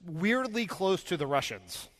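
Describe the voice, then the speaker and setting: A man giving casual political commentary with emphatic, halting phrasing. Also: high voice, big pitch swings, a bit bright. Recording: echoey live hall.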